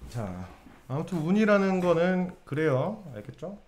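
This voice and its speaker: A man's voice: a little high, very animated, measured pace, darkish tone. A man giving a casual lecture to viewers on fortune-telling. He keeps asking his audience questions and answering them himself.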